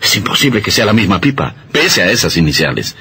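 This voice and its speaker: Deep-voiced, earnest doctor husband. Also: male voice, big pitch swings, quick pace, sharp tone, breathy edge, heavily acted. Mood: puzzled. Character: worried, protective doctor husband. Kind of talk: radio drama acting.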